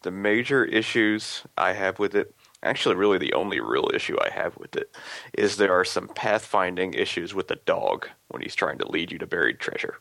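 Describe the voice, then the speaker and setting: A halting man who stumbles over his words chats on a podcast. His voice is low and gravelly, and the recording is dry. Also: animated, pushed and bright, no echo. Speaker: podcast co-host. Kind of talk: casual chat.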